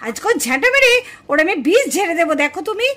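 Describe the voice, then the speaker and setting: A woman's voice: extremely high pitch, very animated, very fast, fairly bright tone, full character voice. A woman voicing a quarrelsome, indignant village daughter-in-law in a puppet cartoon family drama. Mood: furious. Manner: scolding, indignant, exclamatory.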